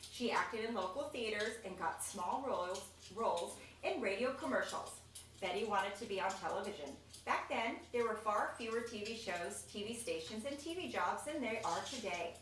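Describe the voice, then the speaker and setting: Echoey, lively woman reading a picture book. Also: medium pitch, moderate pace. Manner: expressive, chatty, pointing out pictures. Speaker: reader of a children's picture book. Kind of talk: read-aloud storytelling with casual asides. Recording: echoey room, distant mic, faint noise.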